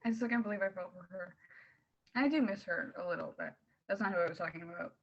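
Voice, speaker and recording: A woman's voice: medium pitch, fast, highs cut off, natural voice. A woman reading a wistful, candid, teasing teenage girl in plain-spoken screenplay table read dialogue.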